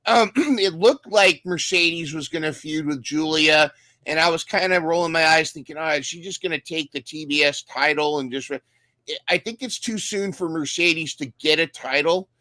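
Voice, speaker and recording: A man's voice: fairly high pitch, moderate pace, bright, forceful tone, dry recording. A man co-hosting a casual recap podcast, talking enthusiastically and often restarting his sentences. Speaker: podcast co-host.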